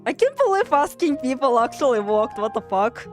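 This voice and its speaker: Woman streamer from Russia, Russian accent, rambling casual chat, swears a lot, fairly high voice, faint music underneath. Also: big pitch swings, moderate pace. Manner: rambling, swears freely, self-deprecating, reads chat aloud. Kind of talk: casual gaming livestream chat.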